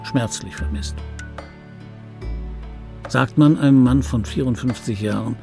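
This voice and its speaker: An actor playing an embittered, wistful dubbing actor in his fifties, delivering a dry, brooding radio play monologue in a husky voice. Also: medium pitch, measured pace, warm tone, faint music behind. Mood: wistful, defensive. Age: 50s. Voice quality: husky, gravelly.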